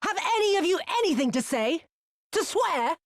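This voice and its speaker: A woman's voice: very high-pitched, big pitch swings, normal speed, no echo, studio quality, exaggerated voice. A woman playing a cruel, haughty imperial viceroy in a video game cutscene, drawling with mocking sweetness. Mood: menacing, taunting.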